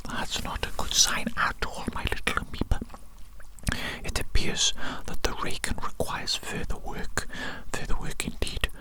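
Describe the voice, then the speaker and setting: A performer voicing an eccentric evil doctor in a breathy ASMR whisper, repeating phrases soothingly and dotingly. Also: a little slow, close to an everyday voice, rough recording. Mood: fretful.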